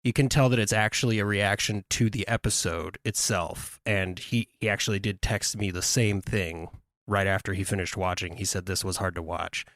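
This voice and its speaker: A man's voice: sits low, steady tone, normal speed, fairly bright tone, no echo. A man co-hosting a podcast, talking earnestly and reflectively, thinking aloud as he goes. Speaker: podcast co-host. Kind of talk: casual podcast discussion.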